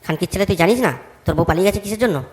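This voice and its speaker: High, quick, blunt village man acted by a man. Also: natural voice. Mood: scornful. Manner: blunt, scolding, crude. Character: blunt, foul-mouthed village man judging a neighbour. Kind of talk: comedy cartoon voice acting.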